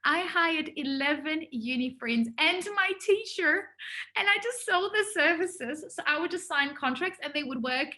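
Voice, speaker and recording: A woman's voice: sits high, expressive, a little slow, pushed and bright. A woman giving an informal business workshop to students, talking in a conversational, enthusiastic way.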